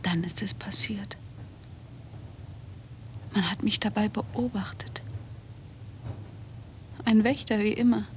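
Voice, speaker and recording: A woman's voice: medium pitch, slow, pauses often, natural voice. An actress playing a troubled, defiant woman in a German radio drama, speaking with brooding intensity. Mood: subdued, confessional. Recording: noisy cassette tape of radio drama.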